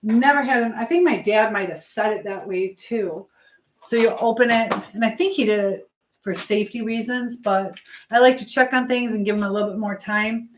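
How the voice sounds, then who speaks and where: A woman's voice: fairly high pitch, normal speed, background noise. A middle-aged woman hosting a casual live chat from home, talking in a chatty, rambling way as she hops between topics and replies to viewers. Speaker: livestream host.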